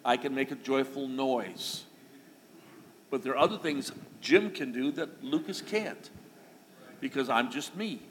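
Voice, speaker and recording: A man's voice: medium pitch, unhurried, long pauses, a bit bright. A middle-aged man preaching a sermon to his church, talking in a conversational, folksy way with asides and small jokes. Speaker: pastor. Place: church sanctuary. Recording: long reverb of a church hall, faint noise.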